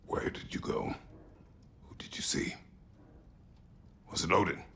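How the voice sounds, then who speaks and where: An actor playing a stern, gruff warrior father who speaks tersely and commandingly in a video game. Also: measured pace, whispered, natural voice. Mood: stern, hushed. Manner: terse, commanding, often hushed. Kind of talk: video game cutscene acting.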